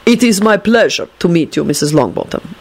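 A storyteller voicing a haughty, icy gentleman in an audiobook story, speaking in a formal, grave, measured way. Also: mid-range voice, lively intonation, a little hiss or hum, lightly acted. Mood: courteous.

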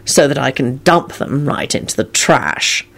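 A woman voicing a stern, sarcastic man with a slow, drawling, cuttingly polite delivery in a story reading. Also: mid-range voice, lively intonation, close to an everyday voice. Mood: coldly sarcastic.

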